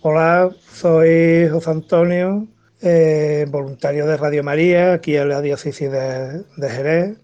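A man from southern Spain with an Andalusian accent, giving a halting devotional testimony on a dull, lo-fi recording. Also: on the high side, steady tone, a little mellow, soft background music. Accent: Andalusian Spanish. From Chipiona, southern Spain. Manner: halting, repeats words. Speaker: radio volunteer.

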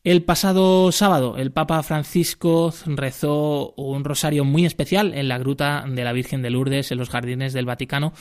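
A man presenting a radio programme as its host. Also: medium pitch. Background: music.

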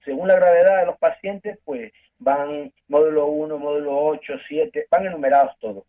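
A man from Ecuador with an Ecuadorian accent, earnestly telling his story as a guest in a phone-in interview, halting and restarting often. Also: on the high side, steady tone. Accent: Ecuadorian Spanish. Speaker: guest giving a testimony. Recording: tinny phone line.

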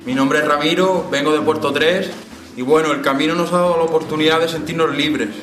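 A man's voice: on the high side, a bit bright, reverberant. A man, an inmate, reading a solemn, earnest reflection aloud. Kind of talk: read reflection.

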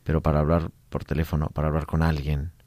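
A man hosting a radio interview, asking chatty, lively questions. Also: very deep voice, quick pace, no room sound. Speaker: radio host.

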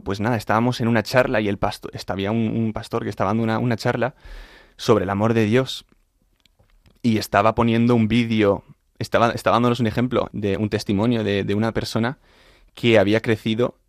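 A man's voice: fairly low pitch, creaky, no room sound, clean studio sound. A young man with a Madrid accent giving an informal personal account as an interview guest, often repeating and restarting his phrases. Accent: Madrid Spanish. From Madrid, Spain. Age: young adult. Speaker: interview guest, composer and singer studying at university. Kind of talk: informal spoken testimony in an interview.

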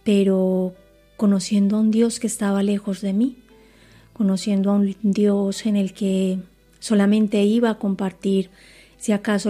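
A woman's voice: mid-range voice, mellow. A woman in her forties from Colombia giving a personal faith testimony in an earnest, reflective way.

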